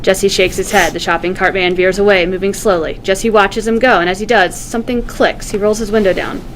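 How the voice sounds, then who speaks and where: A woman's voice: mid-range voice, fairly even intonation, brisk, slight background noise, rough recording. A woman reading scene directions as the narrator at a script table read.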